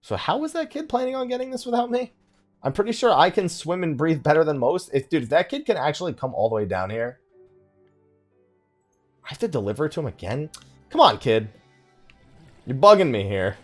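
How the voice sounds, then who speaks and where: A man giving casual let's play commentary, rambling and thinking out loud with mild exasperation. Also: fairly high pitch, sing-song, a little fast, pauses often. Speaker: gaming video host. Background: game music.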